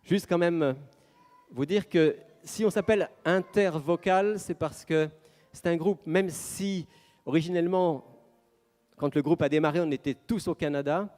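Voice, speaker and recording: A man's voice: a little high, darkish tone. Man introducing singers in a church. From France. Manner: friendly, halting with fillers. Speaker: group member introducing singers. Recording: long church hall reverb, music behind.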